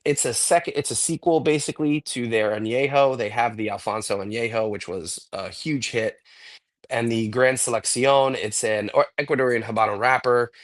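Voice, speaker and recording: A man co-hosting a podcast, a little hoarse and congested from a cold, rambling enthusiastically in casual chat with lots of fillers. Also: medium pitch, normal speed. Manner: rambling, enthusiastic cigar talk with many fillers. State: getting over a cold, a bit hoarse and congested. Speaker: podcast co-host.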